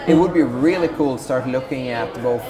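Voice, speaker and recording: A man's voice: medium pitch, brisk, over a noisy crowd. A man from Sweden with a Swedish accent, a company founder talking about his business in an upbeat, enthusiastic interview.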